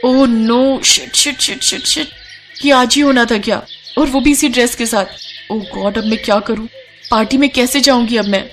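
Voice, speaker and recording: A woman's voice: fairly high pitch, huge pitch swings, normal speed, fairly bright tone, faint music behind. A woman voicing an anxious, ambitious young model in a cartoon story, dramatic and easily flustered. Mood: distraught.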